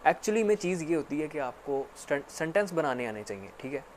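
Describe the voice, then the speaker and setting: A man giving a casual spoken English lesson, repeating himself often and filling pauses with "actually". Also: a little high, very animated, slight background noise. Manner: repetitive, filler-heavy explaining with frequent "actually". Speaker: English teacher.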